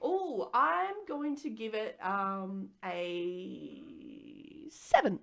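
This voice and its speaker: An Australian woman co-hosting a podcast in casual chat, with an animated, expressive manner. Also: mid-range voice, drawn-out words, gravelly. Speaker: podcast co-host.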